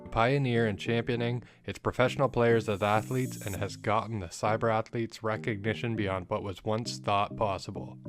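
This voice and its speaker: Low-voiced man narrating over music. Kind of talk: scripted documentary narration.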